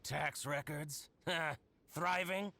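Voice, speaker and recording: A man voicing an excitable, scheming shopkeeper in a video game, with a theatrical manner. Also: fairly high pitch, very slow, creaky, a little hiss or hum, exaggerated voice. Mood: indignant, sly. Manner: theatrical, fawning and indignant by turns. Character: excitable, scheming male shopkeeper jealous of a rival. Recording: game music behind.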